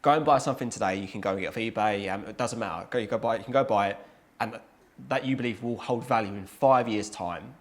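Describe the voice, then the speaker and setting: A man in his thirties hosts a casual interview in a lower voice, speaking quickly. His questions are loose and chatty, and he often reworks his phrasing as he goes. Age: 30s. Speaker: host.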